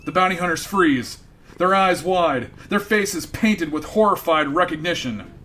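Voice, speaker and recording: A man's voice: a little high, unhurried, pushed and bright, slight background noise. A man narrating a sci-fi radio comedy in a wry, dry scripted delivery.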